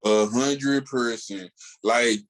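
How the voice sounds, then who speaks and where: A man's voice: mid-range voice, drawn-out words. A man co-hosting a podcast, talking casually in a slangy, emphatic, digressive way as he gives his opinions. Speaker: comics podcast co-host. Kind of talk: casual, opinionated comic book review chat.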